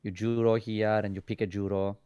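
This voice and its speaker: A man from Greece with a Greek accent, co-casting a card game tournament in casual, excitable talk that often restarts phrases. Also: fairly low pitch, a little fast, clean tone, no room sound, muffled recording. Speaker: co-caster.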